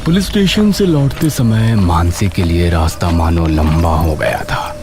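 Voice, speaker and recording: A man narrating a scripted horror cartoon story in a slow, dramatic way. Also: low-pitched, expressive. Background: background music.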